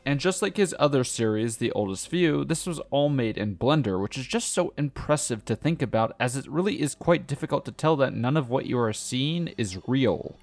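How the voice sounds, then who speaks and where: A man delivering scripted review narration as a video essayist, opinionated and given to emphatic wording. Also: medium pitch. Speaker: video essayist, channel host.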